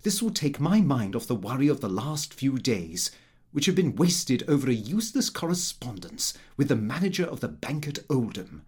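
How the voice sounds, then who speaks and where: A man reading a comic first-person diary as an audiobook, in a prim, expressive, self-important manner. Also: medium pitch, moderate pace, breathy edge. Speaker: audiobook narrator.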